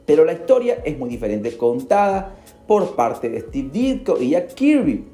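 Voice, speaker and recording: A man hosts a podcast and narrates a story in a dramatic, animated way. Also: a little high, a little slow. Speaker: podcast host. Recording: roomy echo. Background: faint music.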